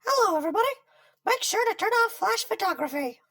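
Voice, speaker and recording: A woman's voice: very high-pitched, sing-song, fast, a bit bright, recorded from a distance, heavily acted. A woman voicing a small animatronic beaver boy in an audio drama, naive and anxious, stammering and easily flustered. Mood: chirpy.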